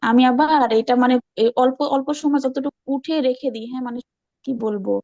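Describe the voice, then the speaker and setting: A woman's voice: high-pitched, brisk, a little mellow, dry recording, lo-fi sound. A chatty woman with a Bangladeshi accent explaining and repeating herself in a casual screen-share discussion on an online call. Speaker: online class participant presenting her channel.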